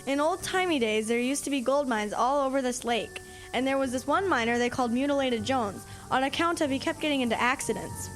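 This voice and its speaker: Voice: high voice, a little fast, fairly bright tone, faint music behind. A young performer playing a brash, sarcastic kid who talks bluntly and in slang in an audio drama. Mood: eager storytelling. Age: child. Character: brash, sarcastic wisecracking kid, eager storyteller. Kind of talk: youth audio drama acting.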